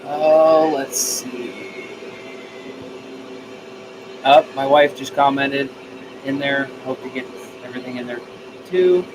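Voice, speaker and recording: A man's voice: medium pitch, takes it slowly, long pauses, noisy background. A man chatting casually on a live stream, answering viewer comments and repeating phrases as he thinks aloud. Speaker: live streamer, radio hobbyist.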